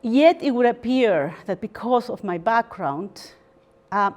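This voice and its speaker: Slow, measured woman lecturing. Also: mid-range voice. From Peru. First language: Spanish. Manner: measured, thoughtful, frequent ums and pauses. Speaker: barrister and guest lecturer. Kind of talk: formal university lecture.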